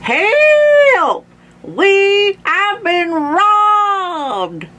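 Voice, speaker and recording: A woman's voice: very high voice, sing-song, drawn-out words, fairly bright tone, voice pushed up, exaggerated voice. A woman voicing a distraught, indignant old grandpa in a storybook, melodramatic and wailing. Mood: panicked.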